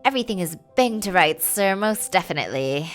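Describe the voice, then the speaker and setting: A woman voicing a cocky, roguish young Regency gentleman gambler in slangy period speech, for a game. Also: lowish voice, animated, a little fast, soft background music, close to an everyday voice. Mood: breezy, wheedling.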